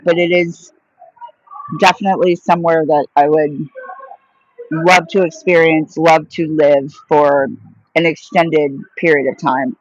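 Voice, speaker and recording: A woman's voice: deep voice, dry recording, rough recording. Woman, casual live-stream host, rambling chatty delivery, dry close sound. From United States. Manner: chatty, rambling, reads and answers viewer questions aloud. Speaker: vlogger answering live chat.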